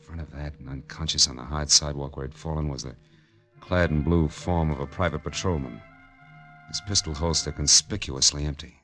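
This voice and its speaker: An actor playing a terse, world-weary private detective in 1940s radio drama style. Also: male voice, rumbling low, steady tone, brisk. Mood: tense, brooding. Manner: terse, world-weary tough-guy narration. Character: hard-boiled male private detective narrating his case. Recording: old-time radio recording with music.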